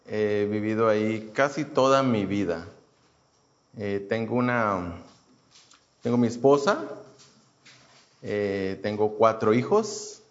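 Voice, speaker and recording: A man in his thirties from Houston, sharing his personal testimony at a mid pitch. He talks casually and haltingly, with fillers and false starts all through. The recording is muffled and lo-fi. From Houston, United States. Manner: hesitant, many fillers and restarts. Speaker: churchgoer giving a testimony.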